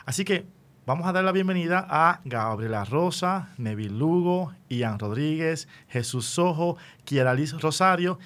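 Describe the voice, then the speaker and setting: A man's voice: fairly high pitch, lively intonation, measured pace, a bit bright. A man with a Puerto Rican accent, a radio host introducing a program and interviewing guests in a formal, welcoming way. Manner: formal, welcoming address to listeners.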